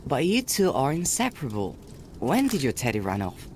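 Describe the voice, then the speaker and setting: An actor voicing an earnest, dutiful young boy in a fairy-tale game, expressive and innocent. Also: mid-range voice, moderate pace, slight background noise. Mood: dutiful, cheerful. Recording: music behind the voice.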